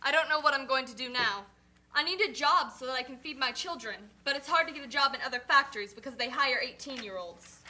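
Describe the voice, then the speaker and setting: A struggling, indignant mother acted by a woman speaks out in a puppet show. Her voice is high and bright, with an outspoken manner. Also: quick pace. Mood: worried. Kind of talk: scripted puppet show dialogue.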